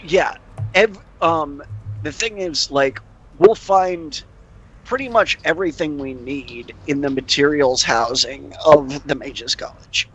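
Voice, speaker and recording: Man, husky, forceful bright tone, casual tabletop game talk. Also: a little high, slow, rough recording. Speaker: tabletop roleplay player. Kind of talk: casual tabletop roleplay chat.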